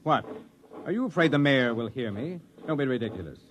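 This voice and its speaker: A man's voice: mid-range voice, animated, a little fast, a little hiss or hum, close to an everyday voice. A man playing a condescending, authoritative military commander with a dry manner in a 1950s radio drama. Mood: smug, dismissive.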